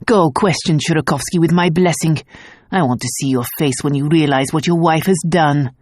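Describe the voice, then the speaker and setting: A man's voice: fairly high pitch, normal speed, no echo, clean studio sound, natural voice. A man reading an audiobook, voicing a proud, bitter nobleman who speaks in a cool, cutting way. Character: Nicholas, a proud, bitter Russian prince.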